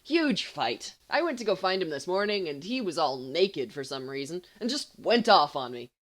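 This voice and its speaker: A woman voicing a cheeky, melodramatic teenage schoolboy in an audiobook, fibbing smoothly with blustering exaggeration. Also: fairly low pitch, big pitch swings, moderate pace. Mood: gleeful. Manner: blustering, exaggerating, mock-confidential. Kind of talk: audiobook character voice.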